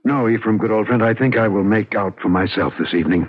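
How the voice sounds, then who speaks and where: A man's voice: on the low side, fast, natural voice. An actor playing a troubled, haunted man in a radio ghost story, with earnest, dramatic delivery and literary phrasing. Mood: guarded. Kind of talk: radio drama acting and first-person narration. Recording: muffled old radio recording, music behind.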